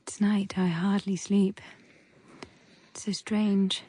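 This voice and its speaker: A woman playing an uneasy young governess, narrating her thoughts in breathy, halting, often whispered phrases in an audio drama. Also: mid-range voice, level tone. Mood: hushed wonder. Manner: hushed, halting, short poetic phrases. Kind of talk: literary audio drama monologue. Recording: faint music behind the voice.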